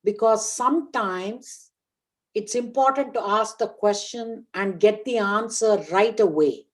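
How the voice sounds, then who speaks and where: A woman in her sixties from India, a guest professor opening an online lecture, speaking carefully and deliberately. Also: mid-range voice. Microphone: online video call.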